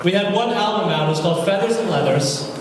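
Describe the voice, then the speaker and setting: A man's voice: high voice, fast talker, very noisy. A young man doing a stand-up comedy set at a college open mic, telling crude, self-mocking jokes in a casual way. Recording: echoey hall, distant mic, crowd laughter. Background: audience laughing.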